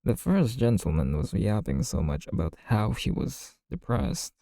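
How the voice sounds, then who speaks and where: Man as a low, slow-talking chatty bartender. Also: wildly swooping pitch, creaky, warm tone, no room sound, clean studio sound, heavily acted. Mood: amused, gossipy. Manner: gossipy, unhurried, colloquial. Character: chatty, amused male bartender, easygoing and friendly. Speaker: bartender in audio roleplay. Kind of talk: ASMR roleplay, casual storytelling.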